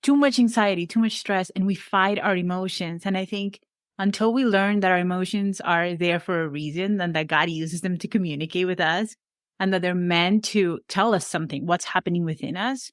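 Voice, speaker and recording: A woman's voice: fairly low pitch, moderate pace, no echo, studio quality. A young adult woman with a Venezuelan accent, a life coach speaking earnestly as a podcast guest. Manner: earnest, reflective, speaks of faith warmly. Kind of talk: conversational interview.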